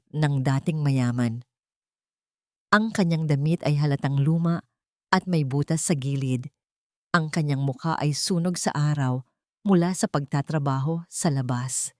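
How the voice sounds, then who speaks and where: Low-pitched Filipino woman narrating, very dry sound. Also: lively intonation, moderate pace, no room sound. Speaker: audio story narrator. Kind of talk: scripted dramatic first-person story narration.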